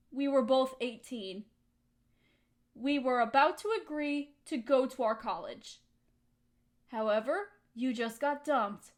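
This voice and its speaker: A woman playing a hurt, resentful young woman confronting her roommate in an emotional, accusatory audio roleplay monologue. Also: high-pitched. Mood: bitter, reminiscing. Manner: emotional, accusatory, pointed rhetorical questions.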